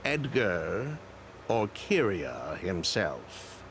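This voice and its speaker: A man's voice: deep voice, wildly swooping pitch, very slow, a bit bright, heavily acted. Shifty game con man, glib and evasive, voice swings all over, bit of background noise. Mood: evasive, brisk. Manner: glib, nervously chuckling, over-explaining. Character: shifty adult male ex-convict perfume swindler, glib and evasive. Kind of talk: video game voice acting.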